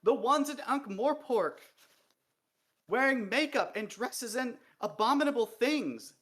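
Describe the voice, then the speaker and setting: A man reading a novel aloud while voicing a fanatical female dwarf official who rants in a high, strained voice. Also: expressive, unhurried, a bit bright, full character voice. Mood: ranting. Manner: high, strained, ranting to the point of sobbing.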